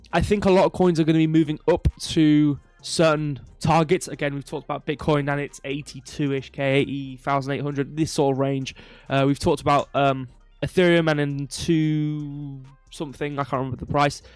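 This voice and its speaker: A man's voice: on the high side, flat delivery, moderate pace, smooth, no room sound, faint music behind. A man with a slightly stuffy, nasal voice hosting a casual livestream of market commentary, digressing with self-deprecating humour, close to the microphone. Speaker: crypto livestream host.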